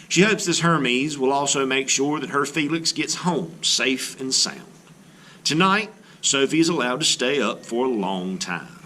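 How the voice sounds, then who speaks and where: A man narrating a children's storybook in an expressive read-aloud style, as a teacher would. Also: medium pitch, a little hiss or hum. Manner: expressive, animated delivery. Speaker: art teacher reading a children's book aloud.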